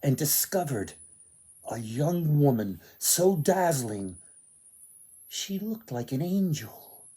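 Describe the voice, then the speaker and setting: A man telling a folktale dramatically, with theatrical, deliberate phrasing. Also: fairly high pitch, big pitch swings, warm tone, husky. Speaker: author, storyteller.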